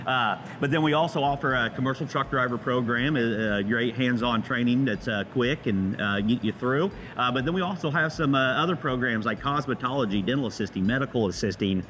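A man's voice: medium pitch, a little fast, hardly any pauses, a bit bright. A middle-aged man answering interview questions in a folksy, easygoing, conversational way. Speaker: campus president, interview guest. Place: large indoor welding workshop. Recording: faint background workshop noise.

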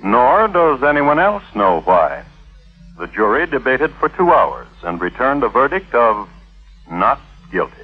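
A muffled old radio recording with music behind a solemn crime historian. He narrates drolly and deliberately, with dry theatrical pauses and a very lively, swooping pitch. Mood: wry, solemn. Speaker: host and narrator.

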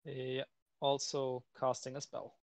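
A man's voice: medium pitch, completely flat delivery, takes it slowly, gravelly, no room sound. A man playing a tabletop game, talking casually in hesitant, piecemeal phrases.

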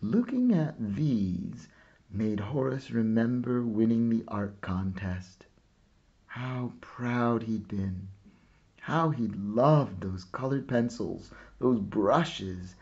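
A man reading a children's picture book aloud expressively, with dramatic pauses and hushed phrase endings. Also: fairly low pitch, creaky, highs cut off. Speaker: storybook reader. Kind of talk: scripted narration, picture book read-aloud.

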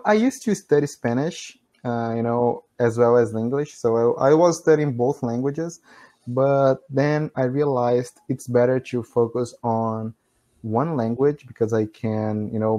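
A man's voice: medium pitch, a little slow, mellow. A man in his thirties from Brazil, speaking English with a Brazilian Portuguese accent as a learner in casual conversation practice over a video call, laughing often and leaning on "you know". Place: small room at home, indoors.

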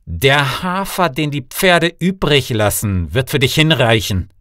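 A man's voice: mid-range voice, expressive, measured pace, fairly bright tone, dry recording, clean studio sound, voice pushed up. A man voicing an evil, commanding sorcerer in a fairy-tale audiobook, speaking curtly and emphatically. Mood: commanding, threatening. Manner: imperious, emphatic. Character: evil male sorcerer, commanding, curt, menacing husband.

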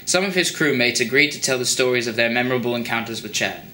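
Actor as a bright-voiced male documentary reporter. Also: mid-range voice, lively intonation, fast, reverberant, a little hiss or hum. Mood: earnest. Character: earnest male documentary reporter interviewing witnesses. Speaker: narrator and interviewer. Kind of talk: scripted mock-documentary narration.

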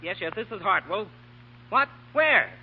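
High, husky, flustered sheriff. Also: male voice, a little slow, full character voice. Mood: excited, alarmed. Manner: excitable, pleading. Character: flustered, cowed, blustering male sheriff. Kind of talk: 1940s radio acting. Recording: muffled 1940 radio recording.

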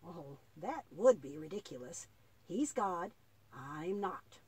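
A woman voicing a patient, devout old grandfather in a children's puppet play, explaining earnestly and haltingly with a husky voice. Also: lowish voice, sing-song, heavily acted. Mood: earnest, instructive.

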